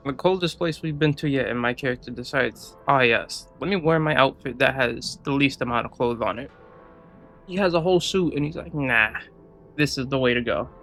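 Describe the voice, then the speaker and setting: A man's voice: mid-range voice, a little fast, fairly bright tone. A man giving casual, offhand gameplay reactions as a streamer, informal and prone to swearing. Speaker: video game streamer. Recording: faint game music behind.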